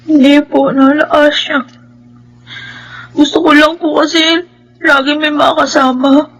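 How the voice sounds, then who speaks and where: A woman's voice: high voice, little pitch movement, unhurried, highs cut off. An actress in a Filipino radio drama playing a troubled, lonely young woman who is needy and easily tearful. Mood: meek, pleading.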